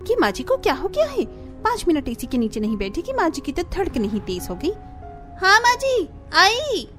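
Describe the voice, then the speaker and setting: A woman's voice: extremely high pitch, wildly swooping pitch, normal speed, music in the background, full character voice. A woman voicing a pampered, complaining young sister-in-law with a whiny, dramatic manner in a Hindi cartoon. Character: pampered, complaining young Indian elder sister-in-law who hates heat. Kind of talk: Hindi animated family story acting.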